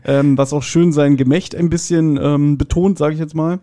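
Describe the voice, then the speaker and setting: A man's voice: fairly high pitch, steady tone, normal speed, mellow. A man talking casually as a guest on a review podcast.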